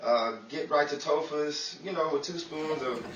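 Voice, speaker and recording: A man's voice: fairly high pitch, normal speed, a bit bright, muffled recording, lightly acted. A man playing a bumbling fellow with homemade cures in an amateur 1930s-style radio comedy, hesitant and folksy. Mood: sly, folksy. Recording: distant, echoey, very noisy home recording.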